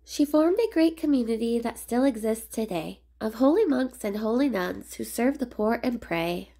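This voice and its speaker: A woman reading a rhyming children's picture book aloud in a measured, expressive sing-song way. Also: fairly high pitch. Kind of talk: storybook read-aloud narration of rhyming verse.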